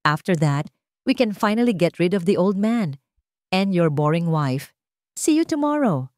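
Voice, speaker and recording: A woman's voice: on the low side, big pitch swings, measured pace, a little mellow, dry recording, clean studio sound. A woman with a Filipino accent voicing a confident, scornful mistress, smug and businesslike, in a story drama. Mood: smug, scheming.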